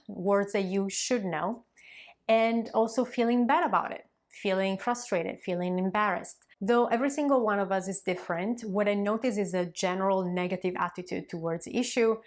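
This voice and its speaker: Encouraging woman coach. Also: mid-range voice. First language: Italian. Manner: warm, encouraging, conversational with occasional fillers. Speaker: Italian language coach. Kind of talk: direct-to-camera coaching talk.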